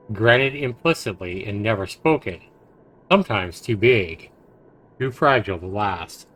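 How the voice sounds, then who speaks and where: A man streaming a visual novel, reading it aloud haltingly with stumbles, self-corrections and casual asides. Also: fairly low pitch, fairly bright tone.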